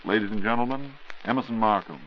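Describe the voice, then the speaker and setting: Low-voiced American man, vintage radio announcer. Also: fast. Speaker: announcer and interviewer. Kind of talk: scripted 1940s radio hosting. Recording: dull 1940s radio recording.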